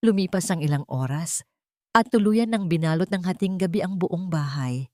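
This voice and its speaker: Female storyteller for scripted dramatic audio fiction. Lower register, close dry sound. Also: normal speed, mellow, no room sound.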